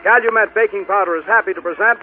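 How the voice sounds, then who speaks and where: A man's voice: high-pitched, fast. A man reading a formal, projected scripted announcement as a 1930s radio announcer. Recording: tinny 1930s radio broadcast, faint music.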